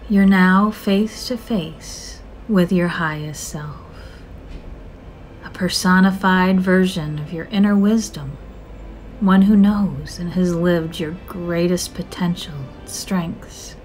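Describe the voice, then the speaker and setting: A woman narrating a scripted guided meditation in a soothing, measured, gentle manner with drawn-out phrases. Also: fairly low pitch, poor audio. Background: soft music.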